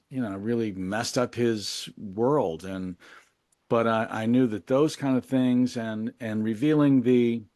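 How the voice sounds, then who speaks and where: Male interview guest, lower-pitched, dry close sound, candid and reflective, conversational delivery. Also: a little mellow, dry recording. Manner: reflective, candid, thinking aloud. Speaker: interview guest, musician and memoir author. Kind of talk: casual, reflective interview talk.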